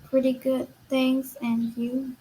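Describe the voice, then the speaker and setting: A woman's voice: high-pitched, little pitch movement, slow pace, dark tone, slight background noise, poor audio. A woman learning English, hesitant and brief, repeating phrases after her tutor. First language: Vietnamese. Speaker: English student.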